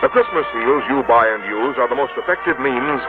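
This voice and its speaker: A man working as a radio announcer, reading scripted 1950s sponsor messages with formal, deliberate phrasing. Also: mid-range voice, animated. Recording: tinny narrowband vintage radio, music behind.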